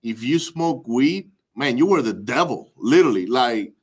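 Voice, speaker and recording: A man's voice: mid-range voice, expressive, moderate pace, slightly rough. Casual man hosting a podcast. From Venezuela. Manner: casual, chatty, many "uh" fillers. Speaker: podcast host. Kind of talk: podcast interview.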